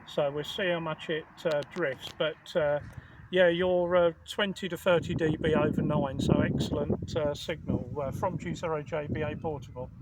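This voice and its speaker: A British man speaking as an amateur radio operator at an outdoor portable station, in a measured, halting way. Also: a little high, steady tone. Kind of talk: casual two-way radio contact. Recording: very noisy outdoor recording.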